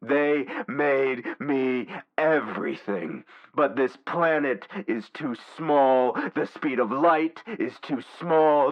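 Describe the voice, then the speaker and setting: A man playing a godlike war supercomputer in a dramatic villain monologue, brooding, deliberate and grandiose, full of contempt for humanity. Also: sits low, muffled recording, full character voice.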